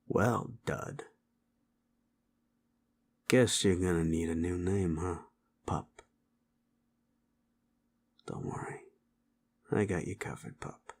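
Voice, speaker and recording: A man acting a gruff, cocky but protective werewolf in an intimate audio roleplay, with a gravelly, breathy murmur and blunt, coarse, halting speech. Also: low voice, exaggerated voice. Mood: impressed, proud.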